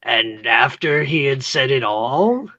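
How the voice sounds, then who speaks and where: A woman acting a nosy, motherly confidante hungry for romance, husky and theatrical in a comic play read. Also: extremely low pitch, animated, slow, fairly bright tone, dry recording, heavily acted. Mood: dramatic, conspiratorial. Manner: theatrical, breathlessly prying. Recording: dull lo-fi, close dry sound.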